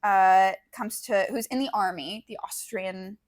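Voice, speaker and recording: A woman co-hosting a casual book podcast, speaking in a halting, thoughtful way with plenty of "sort of" and "like". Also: mid-range voice. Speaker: podcast co-host discussing short fiction. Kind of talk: casual, unscripted book chat.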